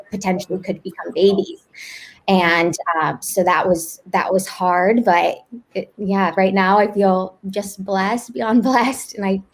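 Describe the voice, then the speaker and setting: A woman's voice: medium pitch, low-quality recording. A woman speaking as a guest panelist, sharing her personal experience candidly in conversation.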